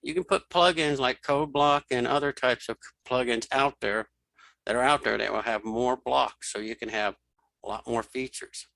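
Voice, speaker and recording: Gravelly, bright-voiced man giving a tutorial. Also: medium pitch, fairly even intonation, normal speed, no echo, low-quality recording. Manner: informal, talks through each keypress. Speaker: screen reader tutorial instructor. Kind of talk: casual step-by-step software demonstration, thinking aloud.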